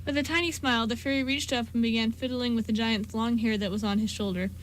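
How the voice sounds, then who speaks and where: Fast, higher-voiced woman narrator. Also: fairly even intonation, slight background noise, low-quality recording. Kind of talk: scripted story narration for amateur radio drama.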